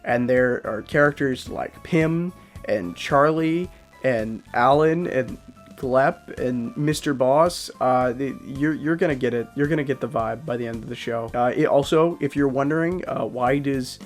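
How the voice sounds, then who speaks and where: A man's voice: medium pitch, unhurried, faint music behind. A young man talking casually as a YouTube reviewer, rambling and poking fun at himself, with lots of fillers. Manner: rambling, self-deprecating, many fillers. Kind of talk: casual vlog talk.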